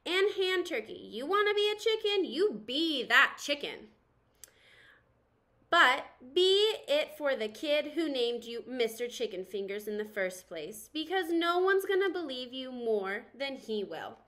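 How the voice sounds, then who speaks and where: An anxious, earnest little storybook toy , voiced by a woman reader. The voice is high and bright, with an emphatic, pleading delivery meant to rally the other toys. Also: big pitch swings, a little slow, heavily acted. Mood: earnest, encouraging.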